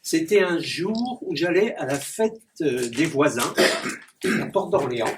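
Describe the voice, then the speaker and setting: A man's voice: on the high side, animated, takes it slowly. A man who is a clown and poet, reciting rhyming comic poems to a small gathering and chuckling at his own puns. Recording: distant mic with background noise.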